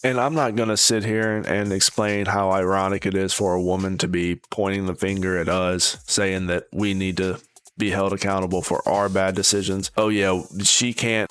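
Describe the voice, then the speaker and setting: A man with a fairly deep, clean and resonant voice talks casually as a video commentator. He is opinionated and critical, rambling through his points as he hosts. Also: a bit bright, smooth. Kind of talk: casual reaction commentary to camera.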